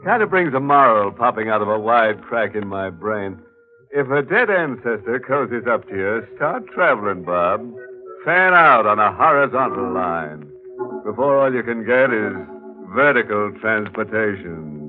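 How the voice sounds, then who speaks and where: An actor playing a sardonic, drawling horror host who relishes ghoulish jokes, in 1940s radio style. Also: male voice, on the low side, very animated, measured pace. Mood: ghoulishly amused. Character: gleefully macabre, wisecracking male horror-show host. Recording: tinny 1940s radio, faint music.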